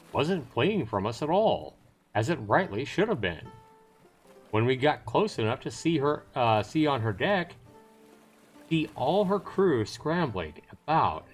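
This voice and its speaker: A man's voice: mid-range voice, slightly rough. A man voicing a salesman-like seafaring trader who tells sea yarns in a theatrical, cajoling way over music. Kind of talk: dramatic storytelling.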